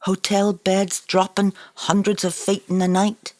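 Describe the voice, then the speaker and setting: A woman's voice: medium pitch, little pitch movement, unhurried, a little mellow, no room sound. A woman reading their own literary novel aloud in a dramatic, incantatory manner. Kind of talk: literary audiobook narration.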